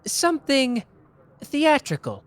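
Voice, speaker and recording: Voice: high-pitched, huge pitch swings, slow pace, full character voice. A performer voicing a smug, boastful young male detective in an ASMR roleplay, teasing and playful.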